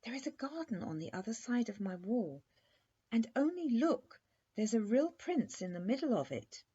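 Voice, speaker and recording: A woman's voice: a little high, very animated, normal speed, mellow, husky, voice pushed up. A woman voicing an eager, forthright young princess in a storybook, direct and full of questions. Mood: excited wonder. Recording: dull, muffled lo-fi recording.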